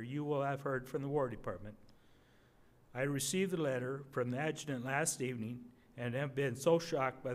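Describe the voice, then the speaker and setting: An older man reading a wartime letter aloud at a podium microphone, measured and a little halting. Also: medium pitch. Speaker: readers theater reader. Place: museum gallery, indoors.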